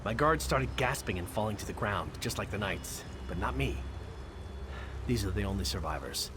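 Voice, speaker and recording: An actor playing a brash, gallant guard captain with a familiar, plain-spoken manner in a fantasy game. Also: male voice, lowish voice, expressive, moderate pace, noisy background, natural voice. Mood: concerned, resolute. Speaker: game character. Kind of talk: scripted fantasy game dialogue. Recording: music under the voice.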